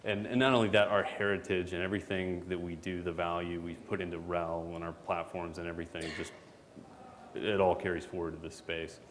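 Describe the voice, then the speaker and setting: A man giving a relaxed, joking conference talk as a product manager, full of fillers. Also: low-pitched, a little fast. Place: conference hall. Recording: hall reverb, faint background noise.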